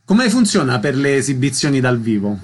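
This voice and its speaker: A man's voice: medium pitch, sing-song, brisk, a little hiss or hum. Male radio presenter, mid-range voice, concise and formal interviewing style.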